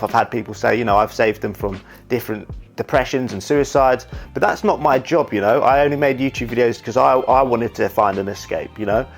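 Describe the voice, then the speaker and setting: A young man talking candidly about his life, rambling and often saying "you know". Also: mid-range voice. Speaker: YouTuber and wedding videographer. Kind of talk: reflective casual monologue.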